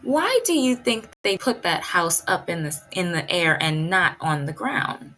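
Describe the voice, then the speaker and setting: A woman's voice: on the low side, animated, unhurried, sharp tone. A woman teaching pre-kindergarten children in a read-aloud lesson, explaining things simply and expressively with lots of questions.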